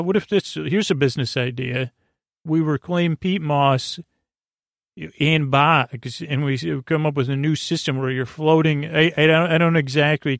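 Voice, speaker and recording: A man hosting a bedtime podcast, rambling whimsically in a hesitant, halting way, with stammers and trailing tangents. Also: on the high side, vocal fry, no echo, studio quality. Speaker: podcast host.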